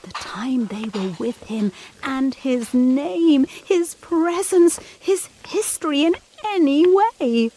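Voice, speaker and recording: Anxious, high-voiced young governess. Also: female voice, expressive, takes it slowly, hardly any pauses, dark tone, a little hiss or hum. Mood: insistent, suspicious. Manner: intense, questioning, formal period diction. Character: earnest, anxious, insistent young Victorian governess. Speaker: actor in an audio play. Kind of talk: gothic period audio drama acting.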